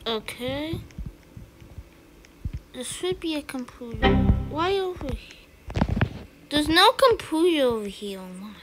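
A woman chatting excitedly through casual gameplay commentary, with sudden squeals. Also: sits high, takes it slowly, long pauses, low-quality recording. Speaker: gamer. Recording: game music behind. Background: game music.

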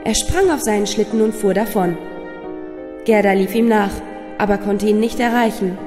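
A woman's voice: medium pitch, moderate pace. A woman storyteller reading a scripted fairy tale for children. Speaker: storyteller, narrator. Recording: long reverb, music underneath. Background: music.

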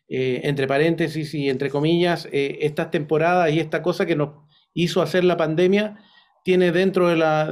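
A Chilean man with a slightly high voice hosts an interview on a video call. He talks conversationally and haltingly, wandering into asides as he goes. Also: darkish tone. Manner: halting, digressive. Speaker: interview host. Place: indoor room on a video call.

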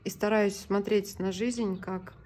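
A woman's voice: mid-range voice, steady tone, slow, warm tone. A middle-aged woman chatting casually and flirtatiously on a call, composed and playfully evasive, recorded on a phone at home. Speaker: social media video creator. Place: small indoor room at home. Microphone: phone at arm's length.

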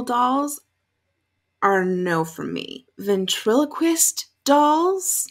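A young woman giving casual, sweary reaction commentary in a room at home. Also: a little high. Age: young adult. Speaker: reaction video host. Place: small furnished room at home, indoors.

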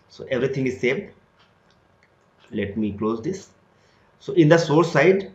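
A man talking through a screen-recorded software tutorial step by step, starting many sentences with "so". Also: medium pitch. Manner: step-by-step explanatory, repeats "so" often. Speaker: tutorial instructor. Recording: roomy, dull lo-fi sound.

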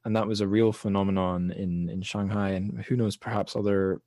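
Deep voiced guy hosting a book podcast, dry sound, kinda halting and thoughtful. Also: steady tone, mellow, no echo. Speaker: podcast host. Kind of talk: casual literary discussion.

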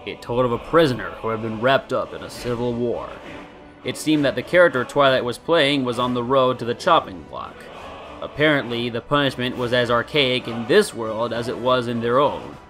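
Man narrating a story over guitar music. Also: medium pitch. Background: soft guitar music.

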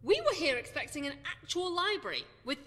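Woman as an earnest young companion, very high pitch, bright tone, lively, long reverb. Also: brisk. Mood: curious. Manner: earnest and inquisitive. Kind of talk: fan audio drama acting. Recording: long reverb with music behind.

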